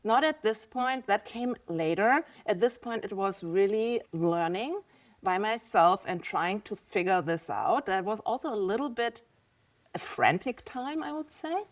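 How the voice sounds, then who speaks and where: A woman's voice: medium pitch, animated, measured pace. A woman in her fifties with a German accent, a podcast guest talking candidly and reflectively about her own experience. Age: 50s. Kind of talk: casual interview talk.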